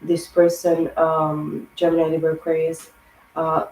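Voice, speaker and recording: A woman giving a rambling spiritual tarot reading, hoarse and losing her voice, piling up words in long lists. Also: low-pitched, monotone, unhurried, a little mellow, echoey room, a little hiss or hum, rough recording. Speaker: tarot reader.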